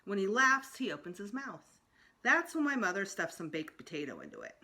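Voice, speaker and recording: A woman reading a children's story aloud as storytime narrator, expressive, with emphatic pauses. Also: medium pitch. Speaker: storytime reader.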